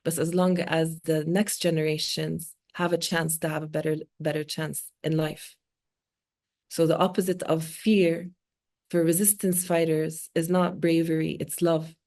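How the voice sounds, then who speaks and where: A woman in her forties, a native Arabic speaker, talking earnestly in an interview with measured pauses and fillers. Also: low-pitched, level tone, darkish tone. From born in Oman, Palestinian. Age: 40s. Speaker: guest speaker, multimedia artist. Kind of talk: reflective interview talk.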